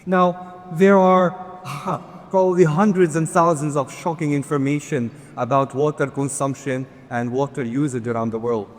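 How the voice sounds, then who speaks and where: A man's voice: a little high, fairly even intonation, moderate pace. A man giving a conference presentation, earnest with touches of light humor, asking for the next slides. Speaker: conference speaker. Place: large conference hall. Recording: long hall reverb.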